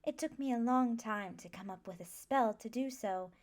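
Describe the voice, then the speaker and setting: A woman playing a gentle, scholarly magic professor in an ASMR roleplay, patiently instructing a class in a measured, reassuring way. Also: fairly high pitch, natural voice. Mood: calm, instructive.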